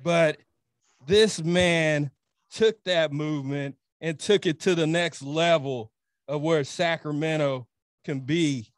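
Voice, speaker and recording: High-voiced guy hosting a podcast, really dry close studio mic, hypes people up. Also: takes it slowly, no echo. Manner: hyped, animated introductions. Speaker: podcast host. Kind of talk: casual chat.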